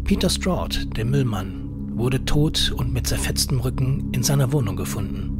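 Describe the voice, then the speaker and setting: A man reading a short horror story as narrator, measured and dramatic. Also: mid-range voice. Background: eerie horror music.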